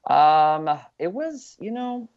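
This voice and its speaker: A man's voice: a little high, slow pace. A young man from Los Angeles, an actor being interviewed, talking casually with lots of ums and you-knows. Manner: relaxed, rambling with many fillers. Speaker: guest actor. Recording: dull lo-fi sound.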